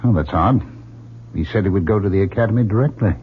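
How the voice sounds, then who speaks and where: A man's voice: fairly low pitch, quick pace, lightly acted. An actor playing a dry, skeptical middle-aged father in an old-time radio drama, wry and probing. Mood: curious. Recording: dull, lightly noisy old radio recording.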